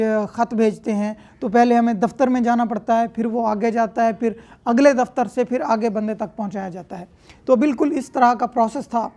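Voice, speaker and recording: A woman's voice: on the high side, a little mellow. A woman with a Pakistani accent lecturing as a law teacher, explaining step by step and repeating points in other words. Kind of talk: explanatory lecture, reading English statute and explaining it in Urdu.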